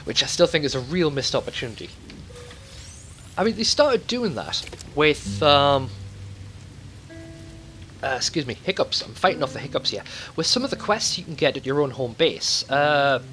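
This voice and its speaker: A man's voice: fairly high pitch, very animated, normal speed, long pauses, sharp tone, soft background music. A man hosting a gaming video, talking casually and thinking aloud in a conversational let's play commentary.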